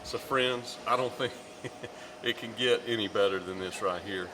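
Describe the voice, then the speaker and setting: A man's voice: fairly low pitch, a little slow. A middle-aged man giving a casual walking-tour vlog outdoors in a city square, chatting to his viewers as friends. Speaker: travel vlogger. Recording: noisy outdoor street recording.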